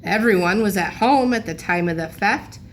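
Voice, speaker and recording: A woman's voice: mid-range voice, moderate pace, lightly acted. A woman voicing a grave, earnest police officer in a storybook, speaking formally. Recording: noisy room recording.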